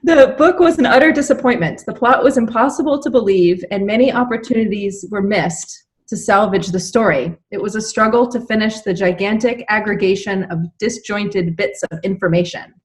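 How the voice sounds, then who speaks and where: Woman, medium pitch, informal reading aloud, casual group chat. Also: moderate pace, few pauses. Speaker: librarian reading book reviews aloud.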